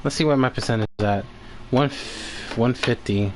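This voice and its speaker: A man chatting and commentating casually as the host of a live stream, halting and often repeating himself. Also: mid-range voice, a little hiss or hum.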